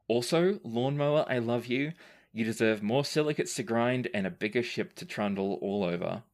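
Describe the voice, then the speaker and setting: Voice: mid-range voice, normal speed. A podcast host and game master reading listener questions aloud and chatting casually, in a conversational, playfully emphatic way.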